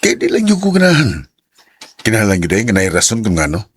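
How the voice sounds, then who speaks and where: An actor playing a cold, evasive young boyfriend in a radio drama, with a Cebuano Filipino accent. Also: male voice, fairly low pitch, huge pitch swings, fast, slightly rough, full character voice. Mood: reluctant, dismissive.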